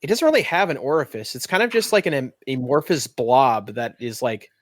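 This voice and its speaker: A man's voice: medium pitch, animated, fairly quick, no echo, clean studio sound. A man casually narrating and making rulings as game master of a tabletop game.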